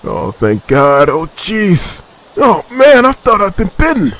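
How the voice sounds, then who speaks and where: A man's voice: high voice, huge pitch swings, takes it slowly, fairly bright tone, heavily acted. A man playing a determined zombie-apocalypse survivor leader in a dramatic comic dub. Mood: breathless relief.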